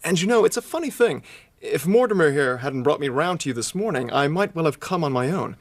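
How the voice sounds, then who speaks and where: An actor with a North American accent playing a breezy, friendly young heir, informal and plain-spoken, in a radio drama. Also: male voice, a little high, expressive, fairly quick. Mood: hearty, friendly. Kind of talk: British radio drama acting.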